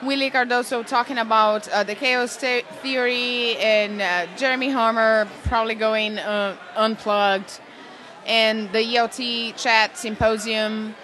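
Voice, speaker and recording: A woman's voice: on the high side, steady tone, bright, forceful tone. Enthusiastic woman teacher. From Brazil. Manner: enthusiastic, with frequent "uh" pauses. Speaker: English teacher at a conference. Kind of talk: casual interview answers. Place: busy conference venue.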